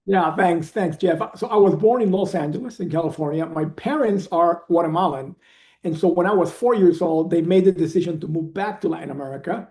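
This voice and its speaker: A man telling his life story as a podcast guest, in an earnest, measured way. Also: fairly high pitch, a little mellow, echoey room. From Venezuela. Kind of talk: conversational interview storytelling.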